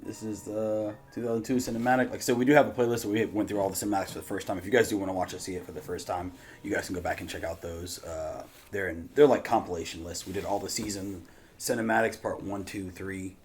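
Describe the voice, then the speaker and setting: A man hosting a reaction channel in casual, slangy, excitable chat with some swearing. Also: sits low, fast talker. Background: background music.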